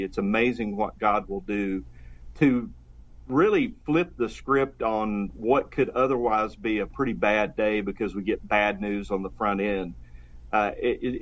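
A pastor speaking earnestly and fervently about faith in a casual conversation, often restarting his sentences. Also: fairly low pitch. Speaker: pastor, guest. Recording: dull, lo-fi sound.

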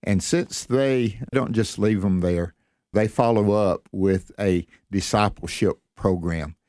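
A man's voice: fairly low pitch, slow pace, a little mellow, dry recording, studio quality. A man hosting an interview show, speaking as a radio presenter to listeners and guests. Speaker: host.

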